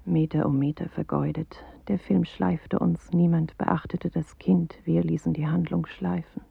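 An actress playing a watchful inner narrator in a poetic radio play, confiding dry commentary in a husky, creaky, often half-whispered voice. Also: female voice, on the low side, steady tone, a little slow, dry recording, heavily acted. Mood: prompting, watchful. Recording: thin, phone-like band, very dry.

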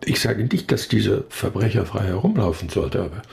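An actor playing an injured, grieving male professor in a radio crime drama, talking haltingly but insistently in a weakened voice. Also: on the low side, expressive, vocal fry, a little mellow. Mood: stubborn, lecturing. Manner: halting, hesitant, yet insistent. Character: injured male brain research professor, bereaved, weary, stubbornly convinced.

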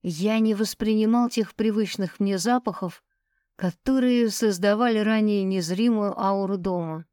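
A woman narrates a story in scripted audiobook style. Her voice sits at a medium pitch, and the recording is very dry, with no room around it. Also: measured pace, mellow, clear, resonant tone, dry recording. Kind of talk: scripted first-person fiction narration.

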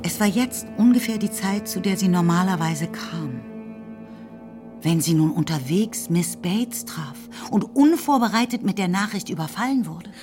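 A woman narrating a radio play in a calm, even, scripted way. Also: fairly low pitch, normal speed. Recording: narration with clear music behind.

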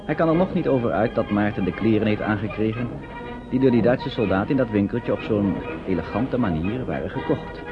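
Male narrator for radio drama: low voice, scripted storytelling, music underneath, dull old recording. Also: lively intonation, fairly quick.

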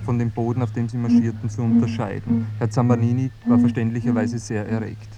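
Man acting as earnest researcher, measured radio-play narrator, mid-pitched male voice, factual delivery, music behind. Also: little pitch movement, close to an everyday voice. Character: earnest male field researcher reporting an odd experiment. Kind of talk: scripted mock-documentary narration in a radio play.

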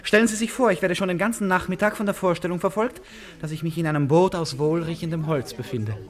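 An actor in a German radio play, playing an eccentric, dreamy gentleman who speaks in a courteous, poetic way. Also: sits high, quick pace, natural voice. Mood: rapt, dreamy.

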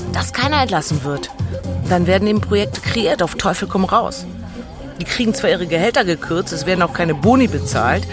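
A woman's voice: medium pitch, close to an everyday voice. Actress as blunt German businesswoman abroad, music behind. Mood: assertive. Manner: blunt, colloquial, drops in English phrases. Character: blunt, worldly German woman expatriate manager in China. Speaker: turnaround manager. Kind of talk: documentary-style monologue, casual talk. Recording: music under the voice.

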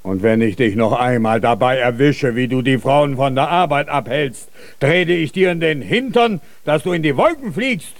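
A man's voice: mid-range voice, moderate pace, dry recording, natural voice. An actor playing a bossy, scornful, threatening foreman of fruit pickers in a German children's radio play. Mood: angry, threatening. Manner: gruff, commanding, threatening.

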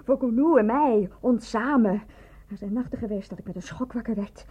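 A woman's voice: fairly high pitch, normal speed, breathy edge. An actress in a radio play voicing a lovestruck, self-reproaching provincial woman, speaking in a reflective, confessional manner. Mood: anguished, rueful. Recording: thin, phone-like old radio recording.

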